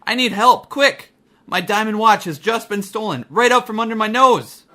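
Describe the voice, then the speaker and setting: A man's voice: sits high, lively intonation, moderate pace, sharp tone, soft background music. A man playing a frantic theft victim exclaiming urgently in a radio mystery.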